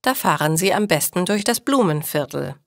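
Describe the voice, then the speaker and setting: A firm but sympathetic policeman in an audio storybook, acted out by a woman narrator. He sounds official and emphatic, each word clear, with strongly moving intonation in a dry recording. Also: fairly low pitch, expressive, normal speed, dry recording. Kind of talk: audio storybook dialogue for learners.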